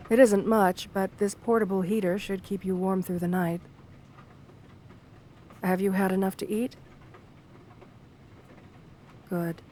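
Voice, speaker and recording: A woman voicing a shy, lonely, romantic gargoyle girl in a gentle ASMR roleplay, speaking tenderly with formal, bookish wording. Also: medium pitch, a little hiss or hum, lightly acted. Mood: tender, soothing. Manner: tender, soft-spoken, formal old-fashioned wording.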